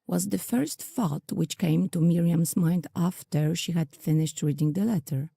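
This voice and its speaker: A woman's voice: lowish voice, unhurried, creaky, warm tone, breathy edge, no echo, clean studio sound. A woman narrating an audio drama from a script, telling the story in the third person.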